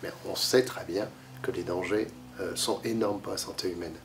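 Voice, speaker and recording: A man's voice: low-pitched, steady tone, normal speed, creaky, far from the mic, slight background noise. A man with a cold giving a talk to a library audience, lecturing with lots of fillers and restarts, coughing now and then. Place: library meeting room.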